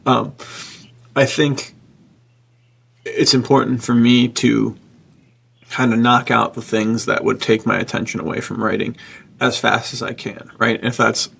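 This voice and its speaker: A man's voice: medium pitch, level tone, a little slow, creaky, pauses often, a bit bright, husky. A man, probably middle-aged, chatting casually on a podcast as a host and writer, with informal, bro-like slang.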